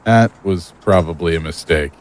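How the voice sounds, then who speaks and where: A man's voice: low voice, normal speed, background noise, close to an everyday voice. A man playing an earnest, troubled man in a naturalistic audio drama. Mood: hesitant, regretful. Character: earnest, troubled man pleading with a lost love.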